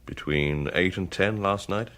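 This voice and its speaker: An actor playing a police pathologist in a radio drama, giving findings in a precise, measured way. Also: male voice, low-pitched, fairly bright tone. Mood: clinical. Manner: measured, clinical.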